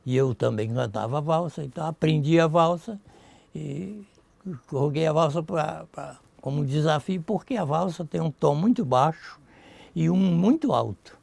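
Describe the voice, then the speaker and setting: A man's voice: fairly high pitch, animated, moderate pace, vocal fry, darkish tone, dry recording. A Brazilian man casually reminiscing as an interviewee. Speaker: interviewee recalling his music.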